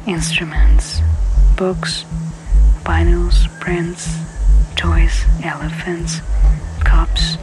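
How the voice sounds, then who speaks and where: A woman, an experimental musician whose first language is Italian, speaking slowly and thoughtfully in a breathy, half-whispered voice. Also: low voice, level tone, low-quality recording. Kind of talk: interview excerpt in a sound collage. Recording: over loud electronic music.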